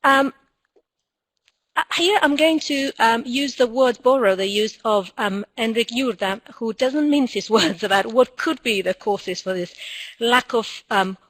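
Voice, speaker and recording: A woman's voice: on the high side, a bit bright, no echo, low-quality recording. A woman, not a native English speaker, giving an earnest conference talk with frequent ums and self-corrections. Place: indoors, recording from Cambridge.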